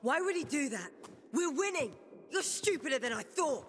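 An actor playing an aggressive, taunting male enemy soldier in a video game, shouting with contempt. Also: very high voice, very animated, moderate pace, heavily acted. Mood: contemptuous, challenging.

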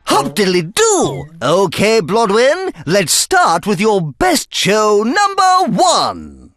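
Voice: mid-range voice, wildly swooping pitch, takes it slowly, no room sound, clean studio sound, heavily acted. An actor voicing a friendly, chatty cartoon bug who hosts a children's show, exclaiming, making puns and breaking into song. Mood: upbeat. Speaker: show host. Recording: loud music behind the voice.

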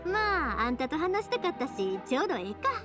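High, lively young Kansai woman. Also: a little fast, over music. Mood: cheerful, friendly. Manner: friendly, chatty, folksy. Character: warm, cheerful, caring young woman, fireworks maker. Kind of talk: anime game character dialogue.

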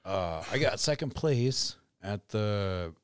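Brief: male podcast host, lower voice, halting and swearing, dry room. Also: expressive, smooth, no echo. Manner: loose, halting, swears a lot. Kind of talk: casual chat.

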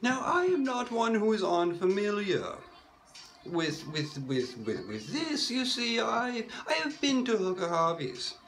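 A woman's voice: medium pitch, very animated, a little slow, faint music behind. A woman telling a comic story in a stagey put-on voice, with mock-formal phrasing, stammered repetitions and whispered asides. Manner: puts on a stagey persona accent.

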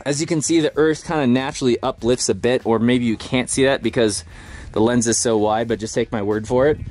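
A man's voice: medium pitch, steady tone, brisk, darkish tone, faint music behind. A man in his thirties chatting casually on a road-trip vlog, upbeat and thinking aloud with lots of fillers, filmed on a camera held at arm's length in a van and outdoors. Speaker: vlogger. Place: inside a van and outdoors at a roadside overlook. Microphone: handheld camera at arm's length.

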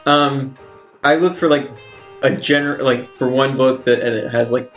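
A man with a medium-pitched voice chats playfully as a player in a tabletop game. The room is echoey and faint guitar music runs behind him. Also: fairly even intonation, a little slow. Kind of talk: casual in-character game chat. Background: soft guitar music.

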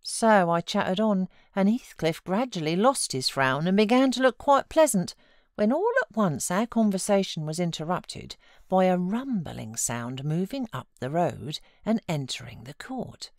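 A woman's voice: fairly low pitch, very animated, measured pace, dry recording, clean studio sound. A British woman reading a classic story aloud as scripted audiobook narration.

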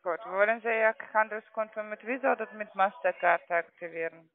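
Man, non-native speaker, high-pitched, ungrammatical phrasing, call centre agent, narrow telephone sound. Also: fairly quick. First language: not German. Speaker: call centre agent selling trading accounts. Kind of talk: phone sales call. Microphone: phone call. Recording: narrow muffled telephone line.

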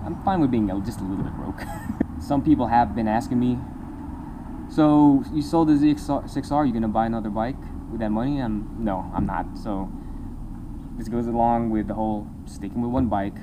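A man's voice: mid-range voice, moderate pace, background noise. A young man chatting casually in a motorcycle vlog, rambling and laughing often, recorded on a small camera in an open-air park shelter. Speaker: motovlogger. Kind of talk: casual talking-head vlog. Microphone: compact camera on a table nearby.

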